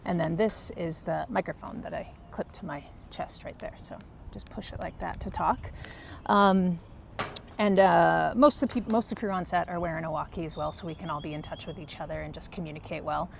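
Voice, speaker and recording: A soft-spoken, low-key woman explaining her work casually in a vlog, pausing hesitantly as she goes. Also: steady tone, creaky, a little hiss or hum. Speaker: film crew first assistant director.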